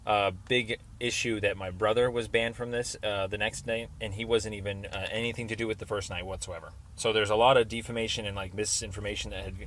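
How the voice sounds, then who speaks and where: A man's voice: fairly low pitch, steady tone, fairly quick, few pauses, fairly bright tone, slight background noise. A man talking informally on a phone call as a caller, explaining things in a hesitant, rambling way. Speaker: caller making a complaint. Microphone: recorded phone call.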